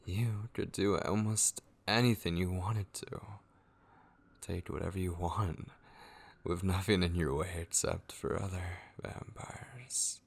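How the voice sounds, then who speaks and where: Man acting a slow, gravelly, sinister whisperer. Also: deep voice, fairly even intonation, full character voice. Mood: dark, admiring. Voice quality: breathy, gravelly, often whispering. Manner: slow, menacing, theatrical. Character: sinister obsessive male voice taunting a captive vampire. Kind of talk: ASMR horror roleplay.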